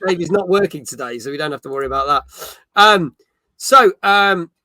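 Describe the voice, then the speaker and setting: A middle-aged man hosts a casual gaming chat, rambling cheerfully and reading out viewers' messages. His voice is slightly high and very bright. The sound is dry and close. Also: moderate pace, dry recording, low-quality recording. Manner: rambling, upbeat. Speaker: host.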